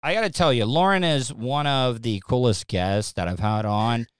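A man's voice: medium pitch, normal speed, smooth, no echo, clean studio sound. A man hosting a casual live-streamed talk show, rambling along with repeated words and restarts. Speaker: podcast host.

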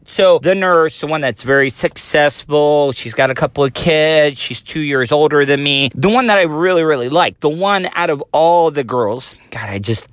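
A man's voice: a little high, fairly bright tone, no room sound. A man in his forties with a thin voice, hosting a casual solo podcast, rambling and self-deprecating, recorded on a phone. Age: 40s. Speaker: podcast host.

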